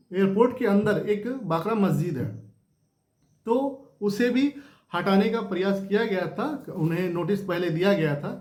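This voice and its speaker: A man's voice: high voice, a little mellow. A man giving informal, unscripted news commentary, explaining points repetitively and checking in with rhetorical tags. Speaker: host of a news discussion channel. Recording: roomy, echoing room sound.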